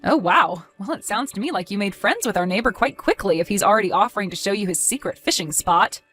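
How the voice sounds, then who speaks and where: A woman's voice: mid-range voice, animated, fast talker, a bit bright, soft background music. A woman playing a caring, cautious and practical wife, fussing conversationally in an audio drama. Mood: surprised, wary.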